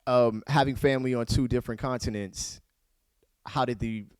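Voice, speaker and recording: Casting: male host, mid pitch, easygoing and chatty, dry close recording. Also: fairly even intonation, moderate pace, a little mellow, clear, resonant tone, no room sound. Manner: easygoing, laughs along, asks rambling questions. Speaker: podcast host. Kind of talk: casual conversation.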